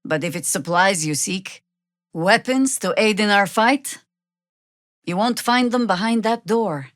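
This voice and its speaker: An actress playing a seasoned, sardonic druid warrior woman in a fantasy video game, with a dry, measured, commanding delivery. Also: medium pitch, fairly bright tone, no room sound, lightly acted. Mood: wry, measured. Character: seasoned, sardonic female druid warrior, world-weary but resolute. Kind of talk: scripted fantasy game dialogue with shouted spell incantations.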